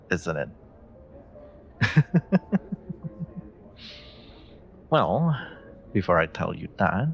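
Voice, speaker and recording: Male actor as flirty charming stranger, very low voice, slow teasing delivery, playful, coy, romantic audio roleplay. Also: gravelly, full character voice. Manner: teasing, coy, unhurried, murmuring endearments. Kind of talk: romantic audio roleplay, one-sided dialogue addressed to the listener.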